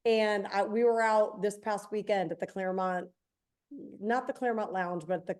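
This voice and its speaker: A woman in her forties co-hosting a podcast, hoarse today, chatting casually with quick self-deprecating asides. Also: mid-range voice, steady tone, darkish tone. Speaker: podcast co-host. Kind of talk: casual chat.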